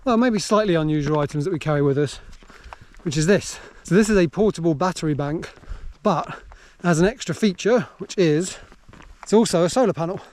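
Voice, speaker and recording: A man's voice: fairly high pitch, brisk, a little mellow. Jokey English man, outdoor hiking vlog. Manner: jokey, self-mocking. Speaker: vlogger. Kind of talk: casual vlog chat while hiking. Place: outdoors on a hill walk. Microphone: handheld camera.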